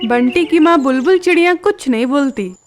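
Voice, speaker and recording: High-pitched woman narrating a children's story. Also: expressive, moderate pace, a little hiss or hum.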